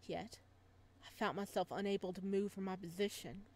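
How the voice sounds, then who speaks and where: A woman's voice: medium pitch, fairly even intonation, moderate pace, a little mellow. A woman playing through a visual novel, reading it aloud with dramatic narration, occasional stumbles and casual asides. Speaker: video game playthrough host.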